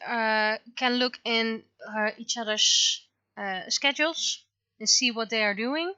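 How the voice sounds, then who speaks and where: A woman's voice: on the high side, pushed and bright, smooth, dull audio. A woman chatting casually on a livestream while she works on her art, rambling and thinking aloud. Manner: rambling, thinking aloud, acts out remembered exchanges. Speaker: livestreamer, webcomic artist.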